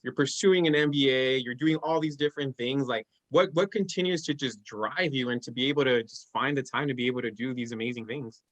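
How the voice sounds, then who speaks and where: A man moderating a panel, thanking the speakers warmly and asking them questions. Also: medium pitch, a little fast, few pauses, low-quality recording. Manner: friendly, encouraging, reads out quotes.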